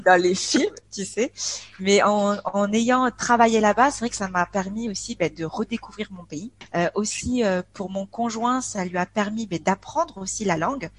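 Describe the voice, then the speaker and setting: A woman's voice: mid-range voice, a little slow. Woman, 40, from France; hesitant, casual guest chatter. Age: 40s. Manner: hesitant, with many filler sounds and restarts. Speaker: podcast guest. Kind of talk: casual interview chat.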